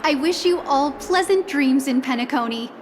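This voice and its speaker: High-voiced, courteous actress as a hotel receptionist. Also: female voice, normal speed, lightly acted. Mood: polite, welcoming. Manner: formal, polite, service-minded. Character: courteous female hotel receptionist welcoming esteemed guests. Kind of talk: scripted English video game dialogue. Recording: prominent music behind the voice.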